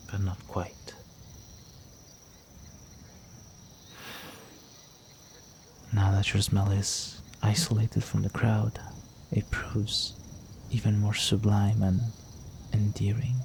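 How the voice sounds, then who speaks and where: Man playing a slow, suave, low-voiced vampire noble. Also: little pitch movement, vocal fry, darkish tone, slight background noise. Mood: dreamy, seductive. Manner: courtly, teasing, slow formal endearments. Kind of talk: intimate romantic audio roleplay addressed to the listener.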